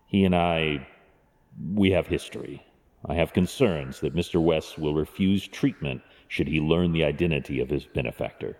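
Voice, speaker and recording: A man playing a stern, brooding masked vigilante in an audio drama, speaking gravely in clipped, commanding phrases with a gravelly voice. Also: extremely low pitch, normal speed, a little mellow. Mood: firm, businesslike. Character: brooding, stern adult male masked vigilante and wealthy guardian. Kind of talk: fan audio drama acting. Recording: long hall-like reverb.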